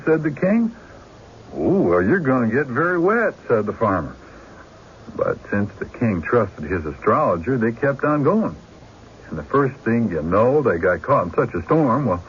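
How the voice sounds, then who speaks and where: Animated folksy storyteller, acted by a man. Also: mid-range voice, natural voice. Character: folksy man telling a tall tale, drawling farmer voices. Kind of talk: old-time radio drama acting. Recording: muffled, faintly noisy radio broadcast.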